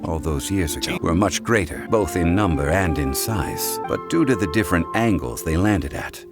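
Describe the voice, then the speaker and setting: Dignified scholarly gentleman, very low voice, measured formal delivery, anime game with soft music underneath. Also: animated. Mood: calm, instructive. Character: calm, erudite, dignified male funeral parlor consultant.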